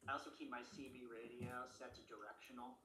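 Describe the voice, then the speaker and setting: A man's voice: mid-range voice, flat delivery, fairly quick, bright, forceful tone, natural voice. A man playing a lone, wary apocalypse survivor narrating a found-footage horror video diary in a subdued, matter-of-fact way. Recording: roomy, noisy found-footage audio with ambience.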